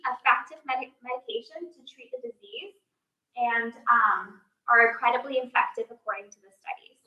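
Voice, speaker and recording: A woman's voice: sits high, sharp tone. A young woman in her twenties, a medical student giving an upbeat prepared talk and counting off her points one by one. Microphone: no working mic, heard from afar. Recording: echoey hall from far off.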